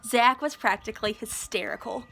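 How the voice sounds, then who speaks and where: Bright-voiced woman reading a story aloud. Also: fairly high pitch, normal speed, creaky. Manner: animated, informal asides to listeners. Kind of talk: expressive storybook narration.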